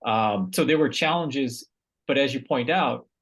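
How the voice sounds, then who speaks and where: A man's voice: medium pitch, a little slow, a bit bright, slightly rough. A man from Vietnam, a researcher guest in a casual interview, speaking thoughtfully and often repeating words as he searches for the right phrasing.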